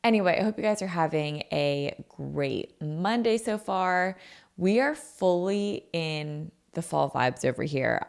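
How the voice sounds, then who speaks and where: Scratchy-voiced woman podcast host, low-pitched. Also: vocal fry. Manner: chatty, peppered with "like" and "um". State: sore throat, scratchy voice. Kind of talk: casual solo podcast chat. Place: her own apartment.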